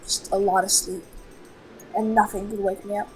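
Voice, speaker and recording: A woman's voice: mid-range voice, level tone, normal speed, low-quality recording. A woman chatting and telling stories casually while playing a game. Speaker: video co-host. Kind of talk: casual storytelling chat over a game.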